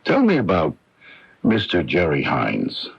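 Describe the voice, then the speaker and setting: An actor playing a gruff, insistent police lieutenant questioning people in a radio drama. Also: male voice, low voice, huge pitch swings, unhurried, exaggerated voice. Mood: probing. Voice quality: gravelly. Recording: dull lo-fi radio broadcast tape.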